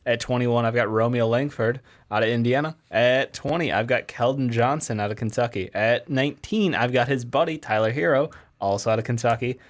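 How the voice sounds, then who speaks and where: A man's voice: mid-range voice, a little fast, hardly any pauses. A man giving casual sports analysis as a co-host, with a wry, joking manner.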